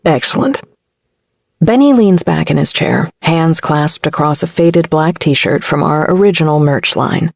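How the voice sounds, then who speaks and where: Woman narrating, creaky voice, very dry. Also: deep voice, a little slow, hardly any pauses, dry recording. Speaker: audiobook narrator. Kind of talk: scripted first-person novel narration.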